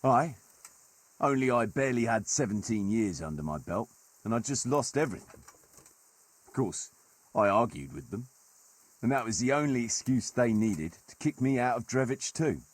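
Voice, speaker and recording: Male actor as wry medieval mercenary leader for a game: husky, animated storyteller, very dry recording. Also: mid-range voice, moderate pace, pauses often, no echo, close to an everyday voice. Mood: wry, rueful. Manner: colloquial, archaic turns of phrase, wry. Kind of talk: dramatic storytelling in a video game cutscene.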